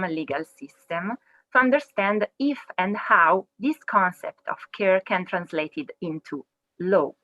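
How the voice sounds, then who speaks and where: A woman from Italy giving a careful webinar talk as a legal researcher, pausing with fillers. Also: medium pitch, bright, forceful tone, no echo. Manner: careful, hesitant, many fillers. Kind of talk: webinar presentation. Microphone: video call. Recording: muffled video call audio.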